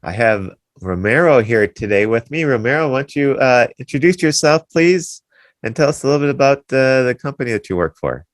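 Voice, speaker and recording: A man's voice: medium pitch, expressive, fairly quick, dry recording. A man from Wisconsin hosting a business interview show, friendly and easygoing, asking short questions. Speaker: podcast host. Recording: dry close sound.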